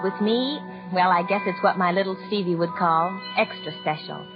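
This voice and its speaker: An actress playing a gentle widowed young mother, narrating and acting in 1940s radio drama style on a muffled old recording. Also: lowish voice, moderate pace, over loud music, lightly acted. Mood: reflective.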